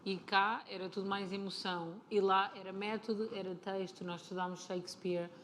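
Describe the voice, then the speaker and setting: A woman with a European Portuguese accent talks as an interview guest at a convention. She is chatty and informal, explaining as she goes, in a mid-pitched voice. Clear event noise runs behind her. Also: little pitch movement, normal speed, a bit bright. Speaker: interview guest, film and television producer. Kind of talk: casual live on-stage conversation. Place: live stage at a comic convention.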